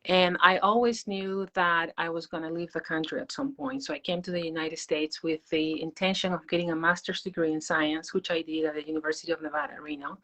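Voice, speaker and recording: A woman with a Peruvian Spanish accent speaks in a slightly low voice. She is an interview guest, explaining things in a personal, reflective, conversational way. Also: brisk, few pauses, pushed and bright, poor audio. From Peru. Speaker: interview guest, meditation teacher.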